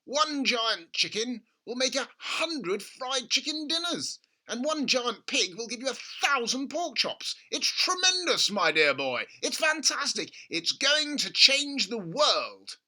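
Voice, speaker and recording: A male reader acts out an excitable storybook father bursting with grand schemes. His voice leaps high and swings widely, with a very bright, forceful edge. He exclaims and bulldozes his way through every sentence. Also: takes it slowly, hardly any pauses, exaggerated voice. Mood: exultant. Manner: shouting, exclaiming, overbearing.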